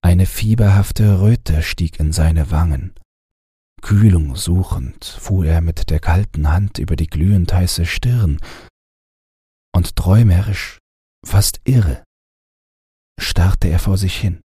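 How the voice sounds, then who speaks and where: A man narrating a fairy tale as an audiobook reader, with measured, expressive, dramatic delivery. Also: sits low, breathy edge, no echo, clean studio sound.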